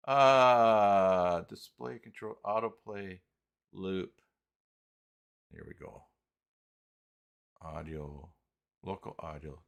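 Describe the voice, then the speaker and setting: A man hosting a casual live software tutorial, talking haltingly and muttering to himself as he works through things on screen. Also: low-pitched, vocal fry. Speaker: live stream host, software tutorial presenter. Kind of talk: casual unscripted screen-share walkthrough.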